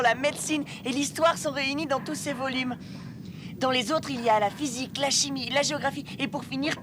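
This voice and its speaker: A woman voicing an eager, clever young boy inventor in an animated dub, talking earnestly and explaining things. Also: high voice, noisy background, lightly acted. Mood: excited.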